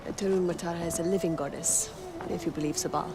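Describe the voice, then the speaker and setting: A woman's voice: on the low side, fairly even intonation, fast, close to an everyday voice. A woman playing a stern, protective young rebel leader in a video game, with a firm, instructing manner. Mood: guarded, earnest. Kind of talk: video game cutscene acting. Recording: loud music behind.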